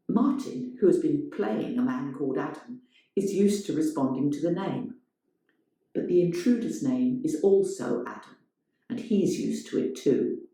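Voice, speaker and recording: Woman, dramatic story narration, slow and mellow, lively intonation, very echoey room. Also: low voice. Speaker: reader of a play-like story.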